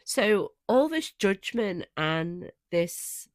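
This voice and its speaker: A woman's voice: medium pitch, big pitch swings, extremely slow, no room sound. A woman co-hosting a podcast, talking in a casual, conversational way. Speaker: podcast co-host. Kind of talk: casual chat.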